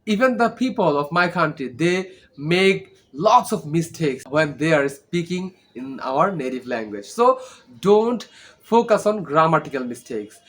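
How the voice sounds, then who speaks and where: A man's voice: on the high side, fairly bright tone. A young man from Bangladesh, a native Bangla speaker with a Bangladeshi accent, giving an earnest, informal English lesson and often checking in with "okay?". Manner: earnest, repeats tag questions like "okay?". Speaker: English learning vlogger. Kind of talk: informal teaching monologue.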